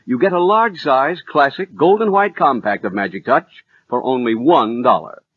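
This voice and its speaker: A man's voice: mid-range voice, lively intonation, normal speed, phone-quality sound, a little hiss or hum. A man announcing a vintage radio cosmetics commercial, emphatic and persuasive, on an old recording.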